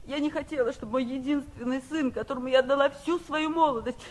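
A woman's voice: high voice, fast, close to an everyday voice. An actress playing an anxious, fretful middle-aged mother, proud and wounded, in a 1960s radio drama. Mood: reproachful, hurt. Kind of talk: 1960s Soviet radio play acting.

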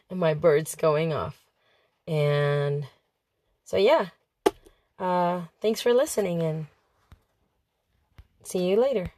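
A woman with a hoarse, under-the-weather voice, talking informally and a little hesitantly to her listeners. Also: lowish voice, a little mellow. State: hoarse, unwell. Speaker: host of an amateur audiobook podcast. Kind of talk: casual spoken update to listeners. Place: inside a parked vehicle in light rain for part of it.

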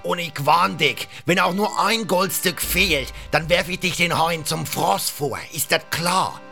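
Man playing a loud, bossy pirate captain. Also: on the high side, unhurried, creaky, faint music behind, pitched above the performer's own voice, exaggerated voice. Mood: threatening, gruff. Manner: colloquial, barking orders, North German slang touches. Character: gruff, bossy one-eyed male pirate captain, middle-aged.

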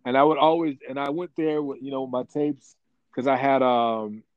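A man being interviewed about his work as a voice actor, telling stories in a rambling, animated way with lots of restarts. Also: medium pitch, normal speed, no room sound, narrow, tinny audio. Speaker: voice actor guest. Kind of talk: casual interview chat.

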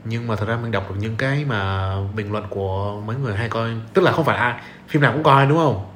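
A man's voice: on the low side, fast, fairly bright tone. A man hosting a casual conversational podcast, talking informally and often tossing in check-in questions. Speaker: podcast host. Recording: roomy echo, faint background noise.

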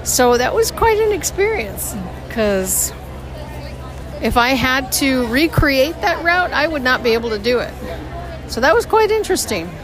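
A woman hosting a podcast, telling a story in a casual, chatty way, her voice hoarse and her throat tight. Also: high-pitched, sing-song, unhurried. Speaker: podcast host. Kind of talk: casual theme-park audio diary. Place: outdoors on a theme park street. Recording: outdoor park recording with music and crowd. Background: band music and crowd.

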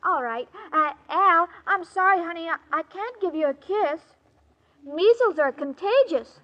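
A woman's voice: extremely high pitch, unhurried. An actress playing a dim-witted, sweet young woman with childlike earnestness in a 1940s radio comedy. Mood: naive, sweet. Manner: childlike, earnest, deadpan innocence. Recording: muffled old radio recording, clear hiss.

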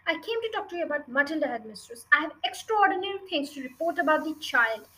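A woman's voice: high voice, very animated, fast talker, sharp tone, heavily acted, low-quality recording. A woman voicing a polite, determined schoolteacher who pleads respectfully but firmly in a storybook reading. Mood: earnest, urgent.

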